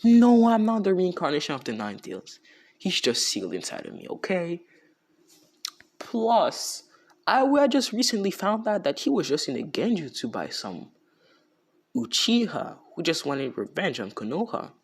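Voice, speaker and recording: Guy doing a cocky young ninja hero, pitched up high, slow and menacing, fan story retelling. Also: big pitch swings, heavily acted. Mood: explaining.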